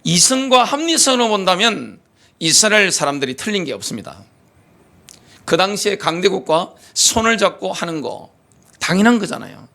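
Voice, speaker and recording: A man's voice: sits high, sing-song, moderate pace, vocal fry, pushed and bright. A male pastor preaching a sermon in a church, asking rhetorical questions and stressing his points emphatically. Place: church sanctuary.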